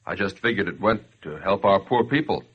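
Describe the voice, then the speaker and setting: An ordinary doubting fellow in a vintage 1940s radio drama. His hesitant, questioning speech swings widely in pitch, with a husky grain, and the old recording is dull. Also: animated, fairly quick. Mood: humbled. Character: doubtful ordinary citizen, slowly won over.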